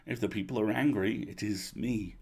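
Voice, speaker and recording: A man voicing a weary, regretful old duchess who speaks in formal, measured phrases for a storybook reading. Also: on the low side, a little mellow, breathy edge. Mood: grave.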